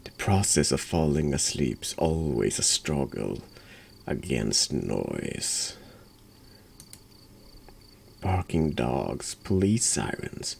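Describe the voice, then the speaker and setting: Very deep, slow man with a cold. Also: vocal fry, darkish tone, slightly rough. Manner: slow, halting, drops into whispers. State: congested with a cold. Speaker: streamer and host of a horror game playthrough. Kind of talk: hushed ASMR-style game commentary and read-aloud narration.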